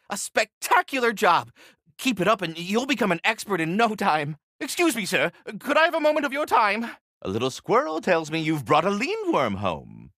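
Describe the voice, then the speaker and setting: A man's voice: sits high, bright, forceful tone, no echo, studio quality, heavily acted. A man voicing a polite, erudite giant squirrel, keeper of a world tree, in a video game, with pompous, florid diction. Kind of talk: scripted video game character dialogue.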